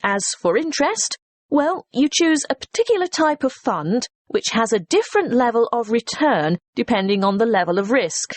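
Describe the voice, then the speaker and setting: A woman's voice: on the high side, sing-song, moderate pace, dry recording. A woman speaking her part in a scripted listening-exam dialogue, explaining steadily. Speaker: actor in exam recording.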